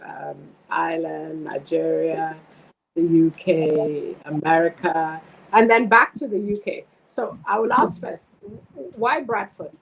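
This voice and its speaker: A woman's voice: low-pitched, fairly even intonation, slow pace, gravelly, a little hiss or hum, rough recording. A middle-aged woman from London hosting an interview over a video call, often hesitating and repeating words. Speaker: host. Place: home room.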